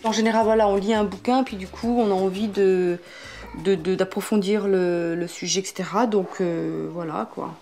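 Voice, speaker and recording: A woman's voice: medium pitch, steady tone, normal speed, a little mellow, soft background music. Middle-aged mother talking loosely at home. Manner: loose and hesitant, with many fillers and restarts. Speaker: homeschooling mother. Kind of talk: casual interview talk. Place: home interior, living room.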